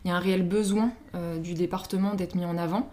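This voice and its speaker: A woman's voice: on the low side, fairly even intonation, quick pace, mellow. A woman from Paris with a French accent, speaking as an interview guest in a casual, chatty way and often quoting herself. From Paris, France. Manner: informal, chatty, self-quoting. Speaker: interview guest, social media influencer.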